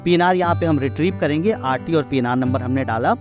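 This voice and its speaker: A man with an Indian accent giving a step-by-step software lesson in an explanatory way, as an instructor. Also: on the high side, fast talker, over music. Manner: explanatory, step by step, checking understanding. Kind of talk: software tutorial narration mixing Hindi and English.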